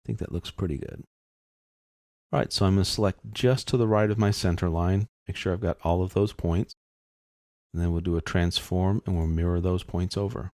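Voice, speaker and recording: A man narrating a step-by-step software tutorial in an informal, explanatory way, thinking aloud as he works. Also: low-pitched, fairly even intonation, fairly quick, dry recording, studio quality.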